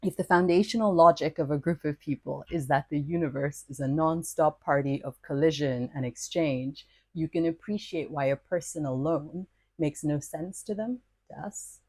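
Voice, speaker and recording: Low-voiced woman reading a lyrical essay. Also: normal speed, warm tone, no echo. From Nigeria. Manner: lyrical, playful, wordy and self-mocking. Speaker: podcast host. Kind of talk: scripted personal essay narration.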